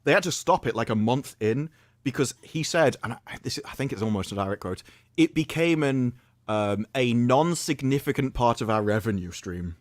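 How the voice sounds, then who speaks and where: A man's voice: mid-range voice, moderate pace, no room sound, studio quality. An American man in casual livestream chat, telling rambling joking stories. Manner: rambling, joking, animated storytelling.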